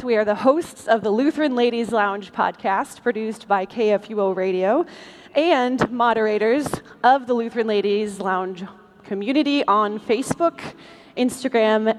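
A woman's voice: a little high, slight background noise. A woman co-hosting a podcast as a live stage show, trading scripted banter in a big reverberant hall. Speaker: podcast co-host. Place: large convention hall stage.

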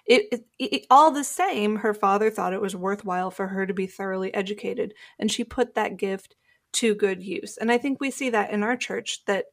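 Woman, mid-pitched reflective co-host. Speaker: podcast co-host. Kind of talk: reflective conversational discussion.

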